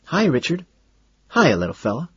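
A man's voice: medium pitch, expressive, moderate pace, fairly bright tone, breathy edge, dry recording, lo-fi sound, voice pushed up. A man playing a falsely friendly, predatory young man with a boyish, ingratiating politeness. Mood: boyish, flattering.

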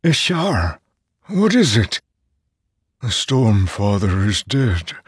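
An ancient, weary herald from a fantasy audiobook, voiced by a man. He speaks slowly and gravely with a strained weight to each phrase. The sound is dry and close. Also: lowish voice, lively intonation, no room sound, voice pushed up. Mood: anguished, grave. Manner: solemn, deliberate. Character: aged, weary, grave male immortal herald.

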